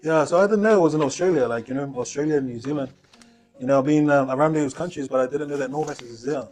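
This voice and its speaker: A man from Samoa co-hosts from home, asking questions in a halting, playful way and often repeating himself. His voice sits a little high for a man. Faint music runs quietly in the background. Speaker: co-host, interviewer. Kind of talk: casual chat.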